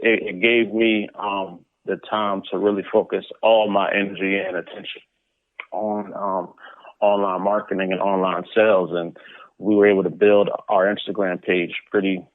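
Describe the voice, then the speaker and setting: A man with a low voice comes through a narrow, phone-like connection with no room echo. He talks as an interview guest in a casual, conversational way. He often doubles back on words and short phrases as he builds his point. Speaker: interview guest, comic book publisher. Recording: muffled phone-like line.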